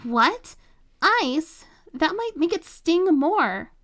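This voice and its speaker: A woman voicing a spoiled, scheming teenage rich girl with a high, whiny, sneering voice. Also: lively intonation, a little slow. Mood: indignant. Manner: sneering, self-pitying.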